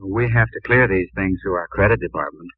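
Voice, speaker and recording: An actor playing an earnest, friendly young shoe store clerk, polite and animated, in a 1950s radio drama with dated broadcast sound. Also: male voice, low-pitched, brisk, slightly rough, no room sound. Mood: apologetic. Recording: dull vintage radio broadcast.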